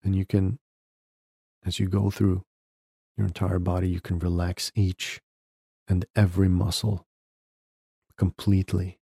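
Guy doing guided meditation, gentle instructions w long pauses, husky n creaky, real unhurried. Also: low voice, flat delivery, no room sound, studio quality. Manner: gentle step-by-step instruction with long pauses between short phrases. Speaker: podcast host guiding a meditation.

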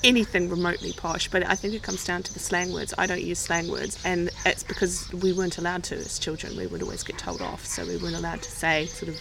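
A woman from New Zealand with a Kiwi accent, telling stories lively in a casual group chat. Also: on the low side, fast talker, creaky, hardly any pauses. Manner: animated storyteller, laughs. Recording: noisy background.

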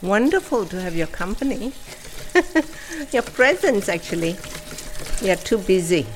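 A woman's voice: mid-range voice, slight background noise, rough recording. A woman, the host's mother, chatting casually and laughing while she cooks in her home kitchen. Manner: laughing, relaxed, slow. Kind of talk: casual chat while cooking. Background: frying food.

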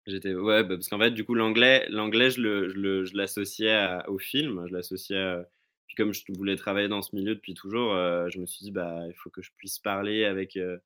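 A man's voice: low-pitched, fairly even intonation, a little fast, bright, forceful tone. A young man chatting as a guest over a remote call, rambling with many fillers and restarts. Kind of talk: casual remote chat.

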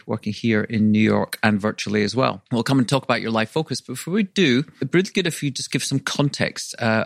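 A man hosting a podcast, reading a measured introduction and then questioning his guests in a conversational way. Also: fairly low pitch, creaky, no echo, clean studio sound. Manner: measured, with restarts when speaking off the cuff. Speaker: podcast host. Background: faint music.

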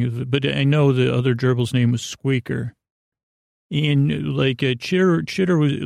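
A man with a gravelly, creaky voice hosts a bedtime podcast, telling rambling stories in a halting, meandering way and often interrupting himself. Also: medium pitch, a little mellow, no echo, clean studio sound. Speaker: podcast host. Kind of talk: rambling bedtime storytelling monologue.

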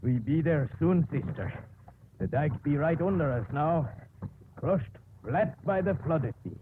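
Halting simpleton, noisy old radio. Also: male voice, a little high, lively intonation. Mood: matter-of-fact. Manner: halting, speaks of himself in third person. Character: simple, devoted rustic English handyman. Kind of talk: 1950s radio drama acting. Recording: noisy muffled 1950s radio recording.

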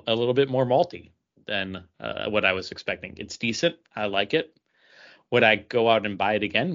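A man co-hosting a podcast in casual chat, speaking in a hesitant, halting way. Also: mid-range voice, sharp tone, highs cut off. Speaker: podcast co-host. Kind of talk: casual chat, beer review.

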